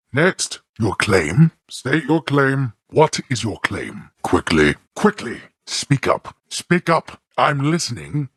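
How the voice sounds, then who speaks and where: A male actor voicing a stern, scornful god of the underworld in a video game, with a husky voice and formal, disdainful, imperious delivery. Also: medium pitch, fairly bright tone, no echo, studio quality, exaggerated voice. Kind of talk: theatrical video game character lines.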